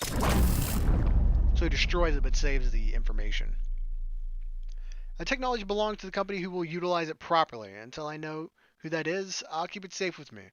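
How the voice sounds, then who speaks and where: A man's voice: on the high side, dull audio, background noise. A man hosting a gaming video, reading a visual novel aloud expressively and dropping in casual asides.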